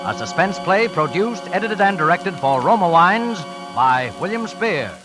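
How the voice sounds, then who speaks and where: A man introduces a 1940s radio thriller in a booming, formal announcer's voice. His pitch rides high and swoops dramatically. A loud orchestral score plays under him on an old radio recording. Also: sing-song, measured pace. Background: orchestral music.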